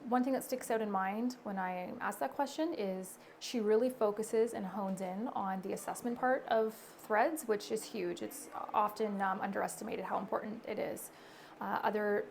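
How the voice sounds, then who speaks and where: A woman's voice: medium pitch, creaky, slight background noise. A woman, a nurse practitioner giving thoughtful testimonial answers with frequent fillers. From Canada.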